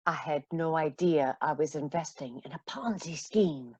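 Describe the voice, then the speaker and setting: A woman's voice: low voice, moderate pace, a little mellow, slightly rough, no echo. A woman acting a caring, middle-aged mother in a radio play. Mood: angry, desperate. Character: caring, generous mother, a singer struggling with money.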